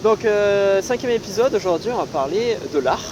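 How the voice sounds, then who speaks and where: A young woman hosting a casual podcast interview outdoors, relaxed and hesitant, with many "euh" fillers. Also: mid-range voice, normal speed, a crowd in the background. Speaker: podcast host. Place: outdoors in a city on a hot day.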